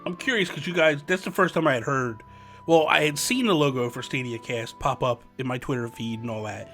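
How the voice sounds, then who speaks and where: A man's voice: medium pitch, fairly quick, fairly bright tone, soft background music. A man hosting a podcast, chatting casually and rambling as he goes, laughing easily. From New Jersey. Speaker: podcast host.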